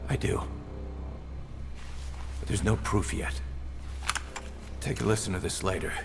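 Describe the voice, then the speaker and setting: An actor playing a cold, calculating military interrogator in a video game cutscene, measured and matter-of-fact. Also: male voice, fairly low pitch, gravelly. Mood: cool, matter-of-fact. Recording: echoing hall with loud music. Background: loud music.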